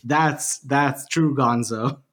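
A man's voice: mid-range voice, takes it slowly, a bit bright. A man from Bosnia and Herzegovina, a podcast guest chatting animatedly and mocking himself with frequent laughs. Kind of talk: casual interview chat.

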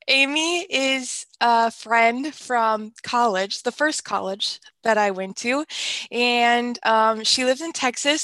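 A woman's voice: fairly high pitch, a bit bright, no echo. A young woman hosting a casual podcast, upbeat and chatty with frequent fillers. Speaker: podcast host.

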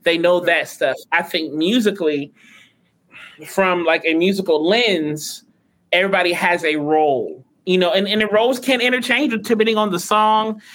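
A male vocal coach, a guest on a talk show, explaining singing in a relaxed, rambling way with lots of "you know", in his naturally high voice. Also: moderate pace. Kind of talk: casual interview chat.

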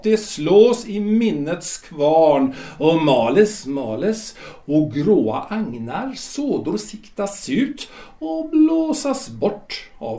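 An actor playing a solemn otherworldly guide of the dead, speaking slowly and questioning like a patient teacher. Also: male voice, high voice, warm tone. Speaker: guide of the afterlife. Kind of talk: audio drama acting. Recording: roomy reverberant room.

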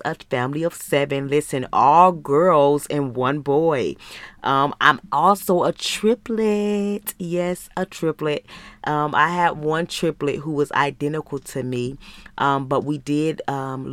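A woman's voice: low voice, no room sound. An American woman in her thirties talking as a faith-based podcast host, in an earnest, encouraging, conversational way. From Michigan, United States. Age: 30s. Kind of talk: personal podcast monologue, faith-based motivational talk.

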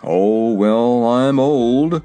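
A man's voice: fairly low pitch, very animated, unhurried, darkish tone. Man acting old cab horse, storybook character, scornful, growling, world-weary, faint music. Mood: despondent, weary.

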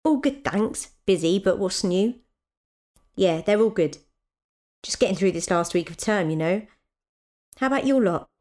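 A woman's voice: medium pitch, animated, normal speed, pauses often, a little mellow, natural voice. A woman playing a busy mother of four in an audio drama, wry, patient and dry in her delivery. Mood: chatty, confiding. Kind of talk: naturalistic audio drama dialogue.

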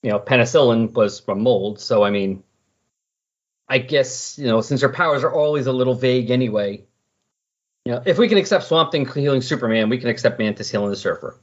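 A man's voice: mid-range voice, a little fast. A man chatting casually and conversationally as a podcast co-commentator, rambling a little as he explains things. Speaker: podcast co-commentator on comic books. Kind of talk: casual chat, recounting comic book plots. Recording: slightly dull, lo-fi audio.

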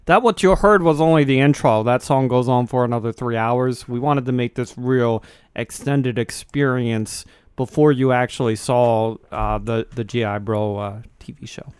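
A man's voice: mid-range voice, moderate pace, a little mellow, clear, resonant tone, dry recording. A man co-hosting a comedy radio show in casual, joking chat.

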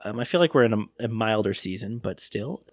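A man hosting a podcast in a casual conversational style, easygoing and a little halting. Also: on the low side, expressive, no echo. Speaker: podcast host.

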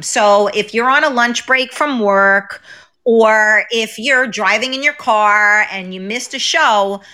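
A woman's voice: mid-range voice, sharp tone. A woman with a New York accent co-hosting a live podcast, talking casually and rambling with plenty of fillers. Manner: chatty, rambling, lots of fillers. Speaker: podcast co-host.

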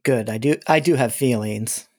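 A man who is a regular on a casual podcast, giving short deadpan replies. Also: mid-range voice, moderate pace.

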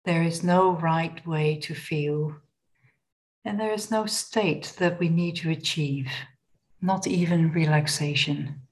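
A woman leading a guided body scan meditation in a gentle, unhurried, instructional manner. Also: low-pitched.

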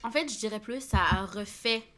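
Bright-voiced woman. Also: mid-range voice, normal speed. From Montreal, Quebec. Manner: candid, telling personal stories. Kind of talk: casual podcast chat.

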